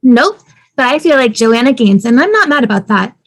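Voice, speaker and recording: A woman's voice: on the high side, very animated, normal speed. A woman playing an upbeat, playful, optimistic young wife in a sitcom table read.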